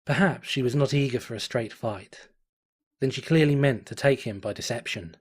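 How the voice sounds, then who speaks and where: A man reading a fanfiction story aloud in a measured, dramatic storytelling manner. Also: medium pitch, husky. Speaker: fanfiction reader.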